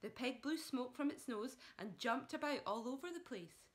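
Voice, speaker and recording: A woman's voice: fairly high pitch, expressive, moderate pace. A woman reading a children's story aloud like a primary teacher, with dramatic emphasis, speaking directly to young listeners.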